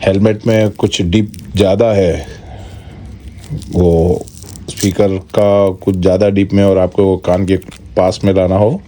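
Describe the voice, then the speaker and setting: A man with an Indian accent giving a casual, step-by-step product walkthrough in short, halting phrases. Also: low-pitched, mellow. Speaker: product reviewer and vlogger. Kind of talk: casual unboxing walkthrough, Hindi mixed with English. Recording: noticeable background noise.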